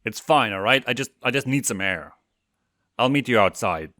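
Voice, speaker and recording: A man voicing a crude, evasive male imp boss who speaks in a halting, blunt, casual way. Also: mid-range voice, animated, sharp tone, dry recording, studio quality. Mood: agitated.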